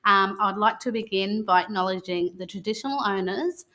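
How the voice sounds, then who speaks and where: An Australian woman talks to children as a guest on a live stream. Her voice is very bright and sits at an ordinary pitch for a woman. She explains things courteously, in measured, halting phrases.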